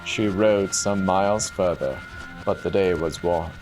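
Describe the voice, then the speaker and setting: A man telling a fairy tale as a dramatic scripted narration, measured and formal. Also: low voice, low-quality recording. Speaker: storyteller. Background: soft music.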